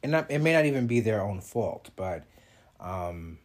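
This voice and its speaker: A man's voice: on the low side, big pitch swings, normal speed. A man in his thirties hosting his own podcast, talking in a chatty, reflective way and laughing between thoughts. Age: 30s. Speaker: podcast host. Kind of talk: casual solo podcast monologue.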